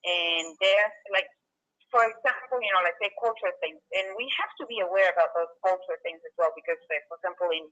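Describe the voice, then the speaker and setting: A woman's voice: fairly low pitch, quick pace, fairly bright tone, muffled recording. A woman from Peru speaking on an online call, earnest and reflective, with many "you know" fillers. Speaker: guest speaker. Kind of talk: online video call chat. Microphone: computer mic on a video call.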